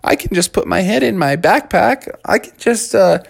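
A man voicing excitable, curious schoolkids in a children's story, high-pitched, boyish and animated. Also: normal speed, creaky, breathy edge, heavily acted. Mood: eager. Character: group of excitable, curious schoolkids stranded on the moon.

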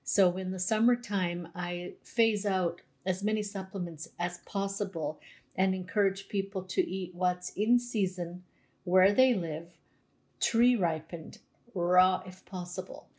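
A woman's voice: fairly low pitch, unhurried, a little mellow. A Canadian woman, a naturopathic doctor, explaining nutrition in a conversational interview with everyday examples.

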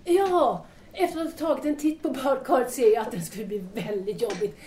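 A woman's voice: high voice, mellow, slight background noise, close to an everyday voice. A woman playing a polite, hesitant young guest in an audio drama, speaking in a reserved, formal way. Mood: shy, polite.

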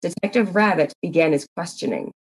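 A woman's voice: fairly low pitch, expressive, a little fast, husky, low-quality recording. A woman narrator reading a scripted story.

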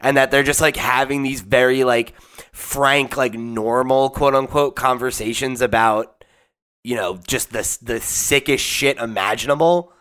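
A man's voice: mid-range voice, a little slow, clean tone. A man in his twenties co-hosting a comics podcast, talking casually with lots of "like" and swearing. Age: 20s. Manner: animated, swears casually, says "like" a lot. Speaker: podcast co-host. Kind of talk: casual book club discussion.

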